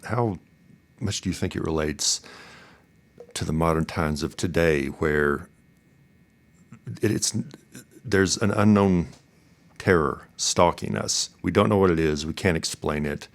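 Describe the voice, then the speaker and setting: A man hosting a conversational interview, feeling his way through questions in halting, thoughtful phrases. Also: low voice, vocal fry. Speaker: podcast host, interviewer.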